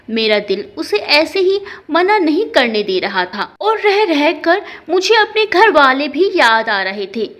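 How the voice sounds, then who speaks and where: A woman narrating an emotional first-person story in an expressive, dramatic way. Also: sits high.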